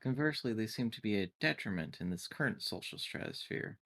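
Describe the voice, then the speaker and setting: A player puts on a synthetic android for a tabletop game. The speech is formal and clinical, taking everything literally with detached curiosity. The pitch sits in an ordinary middle range. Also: lively intonation, fairly quick, a bit bright. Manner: formal, stilted, clinical wording. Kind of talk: tabletop roleplay, in character.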